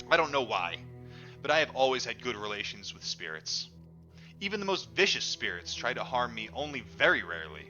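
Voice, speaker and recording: A man reading a book aloud as a storyteller, in an animated way that acts out the dialogue. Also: medium pitch. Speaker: audiobook reader. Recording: dull lo-fi audio, music underneath. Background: music.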